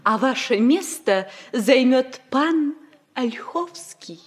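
A woman's voice: sits high, animated, takes it slowly, slightly rough, full character voice. An actress playing a cold, accusing, jealous wife and mother in a 1960s radio comedy. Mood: icy accusation.

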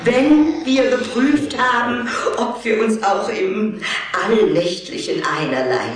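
An actress playing a sentimental, easily indignant widowed vampire aunt in an audio play, speaking in a formal, theatrical, drawn-out way. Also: female voice, a little high, a crowd in the background. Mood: solemn, sentimental. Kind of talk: German audio play acting. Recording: very echoey, distant from the mic.

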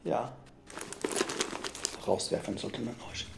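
A woman's voice: very deep voice, slow pace, creaky, very noisy, full character voice. An actress in a radio play voicing an old, hostile society woman with an accusing, venomous manner. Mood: bitter, accusing. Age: older. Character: bitter, hostile old club lady, garishly made up. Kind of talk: German radio play acting.

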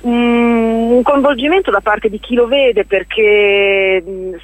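A woman's voice: a little high, fairly even intonation, takes it slowly, no room sound, poor audio. A woman answers interview questions conversationally, often hesitating and rephrasing as she explains. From Romagna, Italy. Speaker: stage actress, interview guest.

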